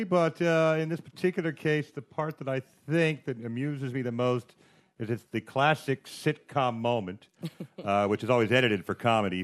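A man of middling pitch who delivers dry, wry remarks. His tone is understated and measured as he chats with the panel. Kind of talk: casual panel chat.

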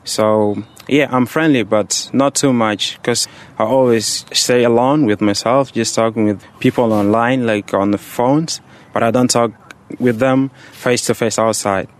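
A man's voice: medium pitch, fairly even intonation, unhurried. A seventeen-year-old young man from Egypt answering interview questions in a casual, plain-spoken and hesitant way. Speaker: student on a referee course, interviewee.

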